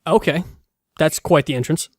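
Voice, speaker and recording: A man's voice: on the high side, moderate pace, dry recording, clean studio sound. A man hosting a podcast in casual chat, reacting to a story in informal, slangy language. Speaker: podcast host.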